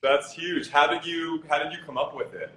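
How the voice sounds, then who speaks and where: A man's voice: on the high side, normal speed, fairly bright tone. A man hosting a casual livestream interview in an encouraging, complimentary way, over a video call. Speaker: interviewer host. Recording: roomy echo, noisy video call.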